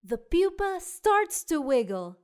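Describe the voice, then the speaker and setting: A woman narrating children's storybooks in an expressive way aimed at young listeners. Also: sits high, takes it slowly. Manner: expressive, child-directed delivery. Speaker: storyteller and show host.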